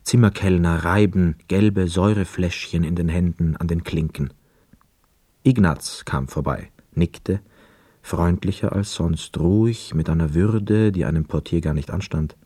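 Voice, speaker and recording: An actor playing a brooding young hotel guest, narrating a literary radio play in a reflective, measured, sombre manner. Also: male voice, sits low, dark tone, slightly rough, no room sound, studio quality.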